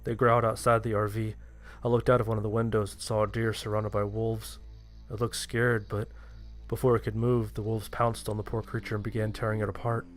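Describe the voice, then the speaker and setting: A man's voice: on the low side, flat delivery, brisk, faint music behind. A man reading a scripted first-person horror story as its narrator.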